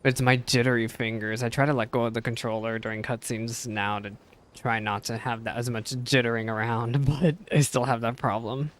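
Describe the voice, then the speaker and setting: A man's voice: mid-range voice, fairly even intonation, moderate pace. Casual male game streamer thinking aloud. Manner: self-deprecating, thinking aloud. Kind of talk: casual live gameplay commentary, reading game text aloud. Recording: stream with faint background noise.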